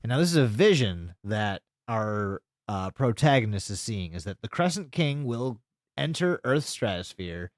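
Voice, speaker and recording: A man's voice: lowish voice, big pitch swings, moderate pace, dry recording. A man co-hosting a podcast, casually retelling a story in a rambling, sweary way. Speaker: podcast co-host.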